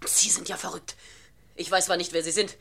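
A woman's voice: lowish voice, level tone, a little fast, creaky, fairly bright tone. An actress playing a frightened, distraught mother under threat in a radio crime drama, anxious and emotional. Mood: outraged, frightened.

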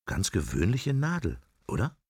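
An actor playing a brooding, furtive middle-aged man in a radio drama, murmuring his drifting, sensual thoughts in fragments with a gravelly, husky voice. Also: medium pitch, wildly swooping pitch, normal speed, a little mellow, no room sound, studio quality. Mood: wry, calculating. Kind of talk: radio drama interior monologue.

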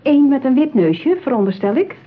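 An actress playing a smooth, patronising saleswoman in a radio play, with polished, persuasive patter. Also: high voice, sing-song, normal speed, slight background noise. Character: smooth, patronising, eager saleswoman at a matchmaking agency. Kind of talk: radio drama acting. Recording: tinny, narrow-band old radio play.

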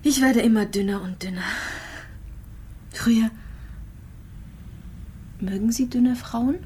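An actress playing a gravely ill young immigrant mother, frail and resigned, confiding in halting, repeated phrases in a radio drama. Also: fairly high pitch, a little mellow, a little hiss or hum. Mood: quiet, sad. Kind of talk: intimate radio drama monologue and dialogue.

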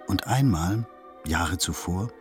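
A man playing a sombre, detached narrator, deliberate and terse, in a literary radio drama. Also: low-pitched, lively intonation, faint music behind. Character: sombre, detached male narrator observing a recluse.

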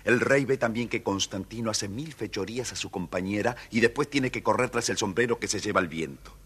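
Lowish-voiced male children's storyteller. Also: a little fast, fairly bright tone, husky. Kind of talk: scripted children's story narration.

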